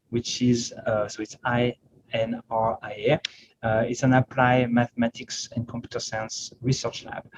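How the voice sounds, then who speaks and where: A middle-aged man with a French accent giving an informal guest talk to a class, with a wry, self-deprecating and hesitant delivery. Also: medium pitch. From northern France. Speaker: guest speaker, startup CEO. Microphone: video call microphone. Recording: noisy, muffled video call audio.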